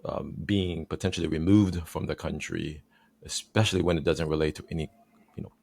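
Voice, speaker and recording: A man born in Haiti hosts a podcast, speaking in a very low, gravelly voice. He asks his questions in a measured, thoughtful way, padding them with fillers. The sound is dry and close. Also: steady tone, darkish tone, dry recording. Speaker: podcast host. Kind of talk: conversational interview.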